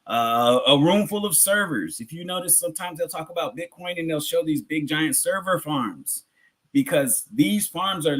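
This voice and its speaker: A man's voice: on the high side, fairly bright tone, husky. A man giving a public educational lecture in a conversational way, asking rhetorical questions and repeating phrases for emphasis. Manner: conversational, informal address to audience. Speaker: community lecturer.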